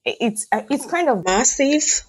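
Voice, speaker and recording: A woman podcast host from Africa, asking a guest questions in a measured, prompting way. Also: sits high, expressive, slightly rough. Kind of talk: interviewing a guest.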